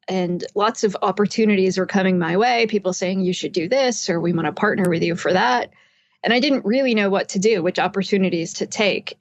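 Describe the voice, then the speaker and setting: A woman with a medium-pitched voice, talking as an interview guest. She explains things in a conversational, storytelling way. The sound is dry and slightly dull, as from an internet call. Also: no echo. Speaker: interview guest, psychotherapist and author.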